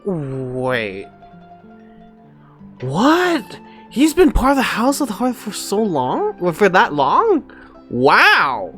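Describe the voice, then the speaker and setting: A man streaming a casual game reaction, with a very lively pitch range. He is expressive, repeats himself and swears freely, over faint music. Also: extremely slow, long pauses. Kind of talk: casual live reaction commentary.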